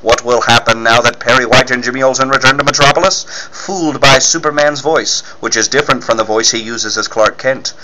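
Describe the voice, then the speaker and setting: A man's voice: mid-range voice, a little fast, hardly any pauses, background noise. A man reading scripted narration as a dramatic 1940s-style radio serial announcer.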